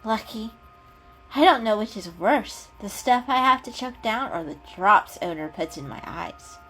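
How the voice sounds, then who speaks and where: A woman's voice: medium pitch, animated, measured pace, fairly bright tone, soft background music, rough recording. Woman voicing a grumpy, skeptical female storybook cat. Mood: grudging, skeptical. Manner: curt, snippy, grudging.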